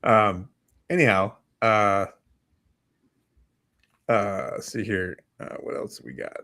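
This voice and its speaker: A man's voice: on the low side, slow, gravelly, pushed and bright. An American man co-hosting a casual morning podcast in an easygoing, conversational way. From United States. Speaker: talk show co-host.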